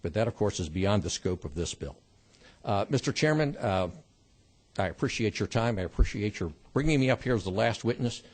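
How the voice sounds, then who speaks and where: Husky-voiced man testifying. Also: fairly low pitch, animated. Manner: measured, polite, with fillers. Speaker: witness testifying. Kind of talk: committee testimony.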